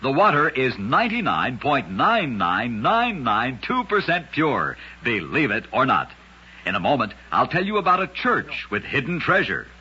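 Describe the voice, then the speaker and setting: A man narrating scripted oddities as an old-time radio host, with a dramatic, emphatic delivery and deliberate pauses. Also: medium pitch, huge pitch swings, pushed and bright, husky. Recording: dull, lo-fi old radio recording.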